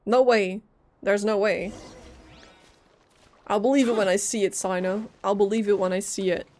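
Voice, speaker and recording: A woman's voice: mid-range voice, fairly even intonation, normal speed, darkish tone. A woman livestreaming a game with casual, reactive commentary, informally musing aloud as she plays. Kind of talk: casual live gameplay commentary.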